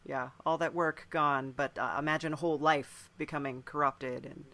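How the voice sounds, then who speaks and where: A man's voice: a little high, fairly even intonation, normal speed, pushed and bright. A man hosting a casual interview chat, speaking in a quirky, hesitant way and often trailing off. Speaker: podcast host, interviewer.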